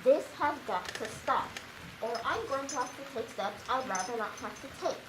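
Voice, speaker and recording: A young woman acting a stern, exasperated small-town sheriff in a high school stage comedy, recorded on an echoey stage. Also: lively intonation, normal speed, noisy background, natural voice. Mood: stern warning. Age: teen.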